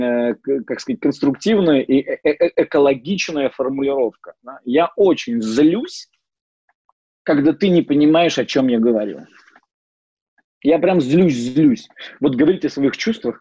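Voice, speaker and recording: Hesitant middle-aged man, dark voice. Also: medium pitch, slightly rough, no echo. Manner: hesitant, many fillers and restarts. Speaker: psychologist giving a lecture. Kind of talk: informal lecture with personal stories. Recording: dull lo-fi dry sound.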